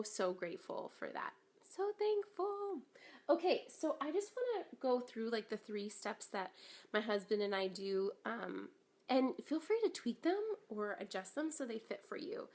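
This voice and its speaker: Chatty woman, slightly raspy from a cold. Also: a little high. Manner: chatty and upbeat, many ums and likes, greets viewers. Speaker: business coach hosting a live stream. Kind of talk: casual live-stream chat.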